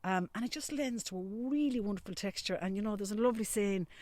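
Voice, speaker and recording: A woman's voice: mid-range voice, quick pace, darkish tone, no echo. An Irish woman sheep farmer, talking enthusiastically outdoors on her farm during a walking interview. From Ireland. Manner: chatty, enthusiastic storyteller.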